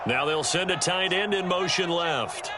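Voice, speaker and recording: A man's voice: high-pitched, a little slow, over a noisy crowd, heavy background noise. A man with an American accent acting as a play-by-play announcer, calling an American football game in a video game. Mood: focused. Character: brisk, focused male football play-by-play announcer. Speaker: play-by-play commentator.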